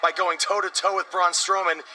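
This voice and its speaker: A man's voice: high-pitched, little pitch movement, normal speed, sharp tone, a little hiss or hum. A man acting an earnest, cocky young American pro wrestler in a video game cutscene. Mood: cocky, eager. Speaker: voice actor as game protagonist.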